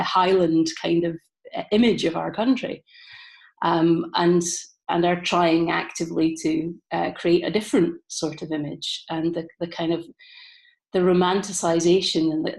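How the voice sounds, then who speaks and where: A woman's voice: fairly low pitch, darkish tone. A woman from southeastern Scotland with a Scottish accent, speaking thoughtfully in a workshop discussion over a video call and searching for her words. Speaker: workshop participant. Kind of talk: reflective discussion.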